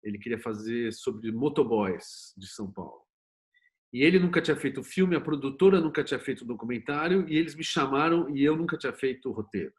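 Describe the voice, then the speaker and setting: A man's voice: mid-range voice, brisk. A middle-aged man with a Brazilian Portuguese accent, telling his own story candidly as an interview guest. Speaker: interview guest, screenwriter and producer.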